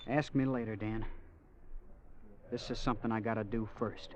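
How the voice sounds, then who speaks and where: Actor as Ben, terse young gunslinger. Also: male voice, fairly low pitch, steady tone, moderate pace, a little mellow, slight background noise, lightly acted. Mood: cold, impatient. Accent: American. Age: young adult. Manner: terse, stubborn, menacing restraint. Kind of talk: 1950s radio drama acting. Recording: muffled old radio recording.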